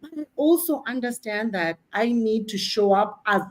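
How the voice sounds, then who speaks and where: A woman's voice: a little high, moderate pace. A woman from Kenya with a Kenyan accent, a career coach speaking emphatically on a panel. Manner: emphatic, animated, preaching self-promotion with humor.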